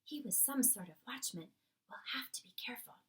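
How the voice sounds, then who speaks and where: A woman voicing a small, anxious, cautious girl from a children's storybook in a breathy whisper. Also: fairly high pitch, lively intonation, fairly quick, pushed and bright, far from the mic, pitched above the performer's own voice. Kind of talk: children's storybook character read aloud.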